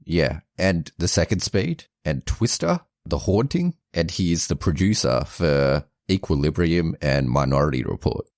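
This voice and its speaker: A man's voice: very deep voice, big pitch swings, a little slow, few pauses, a little mellow, no echo, clean studio sound. A man co-hosting a podcast, chatting easily about movies with some hesitant restarts. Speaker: podcast co-host. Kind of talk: casual movie review chat.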